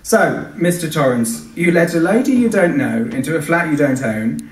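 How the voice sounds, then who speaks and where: A man voicing an older policeman who speaks deliberately and condescendingly, as if to small children. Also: a little high, lively intonation, pitched above the performer's own voice, lightly acted. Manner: deliberate, as if addressing kindergarten. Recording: echoey hall, distant microphone.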